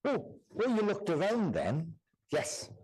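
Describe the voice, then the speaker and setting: A man's voice: high voice, wildly swooping pitch, takes it slowly, darkish tone, husky. A man working as a guest medium in a church service, speaking to audience members with frequent repetitions and "do you understand?" check-ins.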